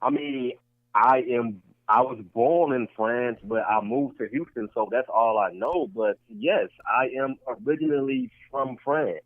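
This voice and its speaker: Casual male podcast co-host, insistent and repetitive, tinny dry lo-fi sound, mid pitch. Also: big pitch swings, unhurried, no room sound. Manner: repetitive, argumentative, restarts points. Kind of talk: casual debate chat.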